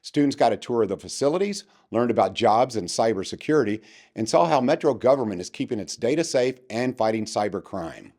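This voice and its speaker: A man narrating a local news segment in an even, polished scripted style. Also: medium pitch, a little fast.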